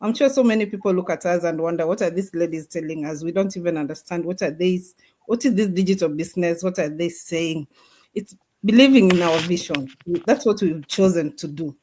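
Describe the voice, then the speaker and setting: Earnest low-voiced woman guest. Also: brisk, darkish tone. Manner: earnest, emphatic, preaching tone. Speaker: guest speaker. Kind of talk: motivational testimony.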